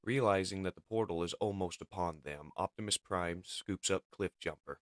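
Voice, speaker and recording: A man's voice: deep voice, normal speed, no room sound. A man narrating a comic book as a dramatic scripted reading. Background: music.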